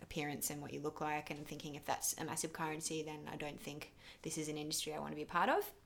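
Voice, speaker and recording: A young Australian woman speaks in a low register with plenty of vocal fry. She talks casually as an interview guest, candid and a little rambling, often qualifying or talking herself down. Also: steady tone, fast talker, a bit bright, slight background noise. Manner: candid, self-deprecating, rambling. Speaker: interview guest, actor and filmmaker.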